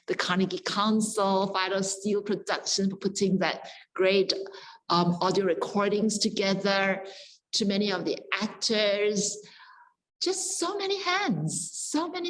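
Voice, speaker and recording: A woman's voice: medium pitch, rough recording. A woman panelist giving reflective closing remarks in an earnest, contemplative way, repeating words for emphasis. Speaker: scholar, panelist.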